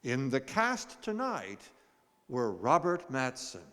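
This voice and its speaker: A man playing a formal, courteous 1930s radio announcer and gentleman, speaking in a measured way. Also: mid-range voice, wildly swooping pitch, full character voice. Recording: noisy echoing hall with audience.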